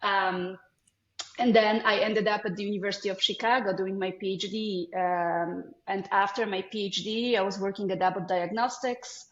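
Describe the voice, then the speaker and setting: A woman in her forties from Poland with a Polish accent, a guest in an interview, talking casually and warmly with many ums and restarts. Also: mid-range voice, steady tone, normal speed. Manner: hesitant, many ums, friendly. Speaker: guest, foundation CEO. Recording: echoey, dull lo-fi.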